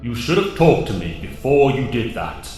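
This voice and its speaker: A man's voice: mid-range voice, very animated, darkish tone, soft background music, lightly acted. A man playing a grave, wise alien mentor in an audio drama, speaking formally and solemnly. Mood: stern. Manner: formal, measured, solemn phrasing. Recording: cavernous echoing hall with long reverb.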